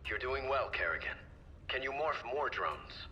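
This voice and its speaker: An actor playing a calm, courteous man in charge of experiments, giving measured, polite instructions in a video game. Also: mid-range voice, noisy background, lightly acted. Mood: encouraging. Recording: dull, band-limited sound with music behind.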